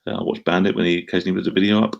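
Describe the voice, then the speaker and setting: Middle-aged Essex bloke, gravelly and laid-back, reading out chat and rambling through answers. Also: male voice, low voice, flat delivery, rapid-fire, lo-fi sound. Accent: Essex English. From Essex, England. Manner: rambling, self-deprecating, frequent ums and restarts. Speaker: live streamer answering chat. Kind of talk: casual live stream chat.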